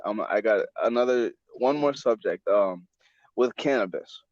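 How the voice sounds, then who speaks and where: A man's voice: on the low side, unhurried, darkish tone, no room sound. A man hosting a casual podcast chat, rambling with many stammered restarts and swearing with easy enthusiasm. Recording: dull dry lo-fi recording.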